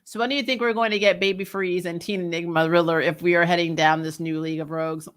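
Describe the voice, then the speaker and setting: A woman's voice: fairly low pitch, steady tone, fast. A woman giving casual, candid fan commentary as a recap host, in a sassy, emphatic and colloquial way.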